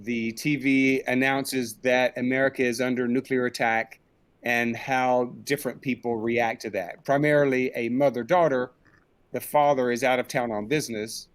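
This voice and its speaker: A middle-aged man with a mid-pitched voice answers as an interview guest. He talks conversationally over a video call from a room indoors. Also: fairly even intonation, moderate pace, smooth. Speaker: interviewed director and audio drama creator.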